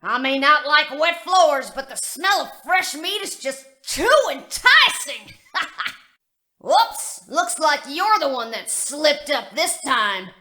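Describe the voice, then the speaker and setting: A feisty old-hen animatronic for a game. The voice is high and bright, with folksy, taunting swings of pitch and plenty of smug sass. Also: female voice, very animated, slow pace, heavily acted. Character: sassy, feisty female animatronic bird, smugly vengeful.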